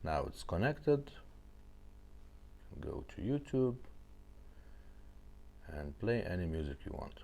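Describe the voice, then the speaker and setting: A man's voice: sits low, big pitch swings, takes it slowly, pauses often, warm tone. A man presenting a car radio demonstration in a casual style, speaking in short phrases and often checking in with the listener.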